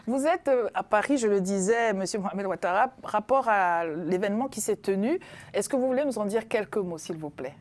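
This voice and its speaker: A woman's voice: mid-range voice, lively intonation, moderate pace, darkish tone. A woman hosting a TV talk show, introducing guests with formal, theatrical flourishes.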